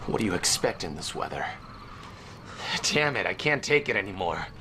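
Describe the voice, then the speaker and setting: A man's voice: deep voice, very animated, moderate pace, pushed and bright, slight background noise, exaggerated voice. A man playing a chatty, grumbling, easygoing soldier in a radio drama. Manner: chatty, complaining.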